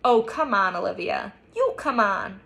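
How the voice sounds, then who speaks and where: A woman's voice: mid-range voice, big pitch swings, measured pace, gravelly, bright, forceful tone, full character voice. A woman voicing an earnest teenage boy who can be annoyed but caring, in a story reading.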